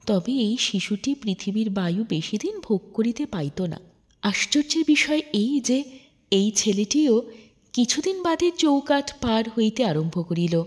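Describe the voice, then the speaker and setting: A woman narrating a literary story in an expressive, measured, dramatic audiobook style. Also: fairly high pitch.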